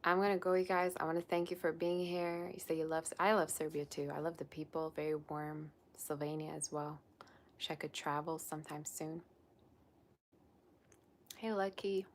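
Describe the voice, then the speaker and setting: A woman's voice: low-pitched, flat delivery, normal speed, a little hiss or hum. A young woman, a psychic tarot reader, chatting casually on a livestream and reading viewer comments aloud. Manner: chatty and rambling, with many "like" fillers.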